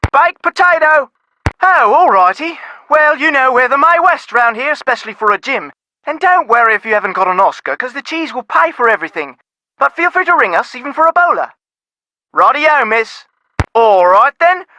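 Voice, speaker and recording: A man's voice: extremely high pitch, very animated, normal speed, bright, forceful tone, no room sound, full character voice. A man playing a chirpy, chatty Cockney pub barman in a video game, full of rhyming slang. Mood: chirpy, helpful. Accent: Cockney London. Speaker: pub worker taking phone orders.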